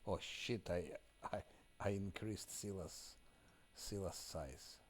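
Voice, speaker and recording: A man from Ukraine, a game streamer giving casual live commentary, often halting as he searches for words in English. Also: deep voice, fairly even intonation, a little hiss or hum.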